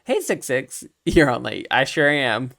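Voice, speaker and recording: A man giving casual, animated game commentary as a let's play streamer, reacting aloud to the story. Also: mid-range voice, a little slow, creaky. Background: game music.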